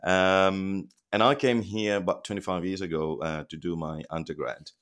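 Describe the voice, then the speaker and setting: Man from sri lanka, very deep voice, guest on a call, chatty with lots of ums. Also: steady tone, normal speed, a bit bright, clear, resonant tone. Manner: chatty, hesitant with many ums. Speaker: guest, productivity consultant. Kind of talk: casual phone interview. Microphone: phone.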